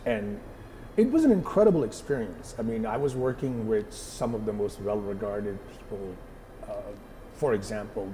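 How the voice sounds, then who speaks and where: A man's voice: fairly low pitch, warm tone, poor audio. A middle-aged man from Pakistan, a photographer answering interview questions thoughtfully, with many fillers and restarts.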